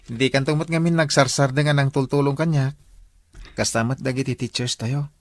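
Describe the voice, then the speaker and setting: A man's voice: mid-range voice, steady tone, moderate pace, lightly acted. A man playing an earnest teenage schoolboy in a Filipino radio drama, with a Filipino accent. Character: Lindon, earnest teenage blind schoolboy singer.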